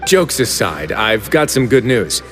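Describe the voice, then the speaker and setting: A calm, dry prison-warden duke. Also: male voice, medium pitch, a little slow, in a large hall, over loud music. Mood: composed. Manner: dry, composed and teasing. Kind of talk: video game dub.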